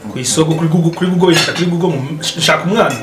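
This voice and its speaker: Very fast-talking young man, high voice, echoey room. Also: heavy background noise. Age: young adult. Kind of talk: casual chat among friends. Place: indoors at a home dining table. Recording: echoey room, music, clinking cutlery and dishes.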